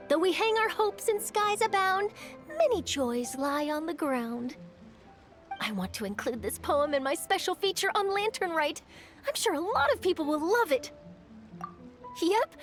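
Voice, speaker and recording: A woman voicing an excitable, earnest young female reporter in a video game, speaking in an effusive, expressive way. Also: extremely high pitch. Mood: reciting, then cheerful. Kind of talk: video game character dialogue.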